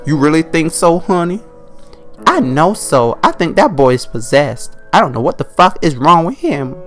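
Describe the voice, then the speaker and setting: A loud, crass suburban dad played by a man exclaims in a raised, baffled voice. He is clueless and foul-mouthed, a comic character in a bedtime horror story with faint music behind. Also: a little high, very animated, moderate pace, pitched above the performer's own voice.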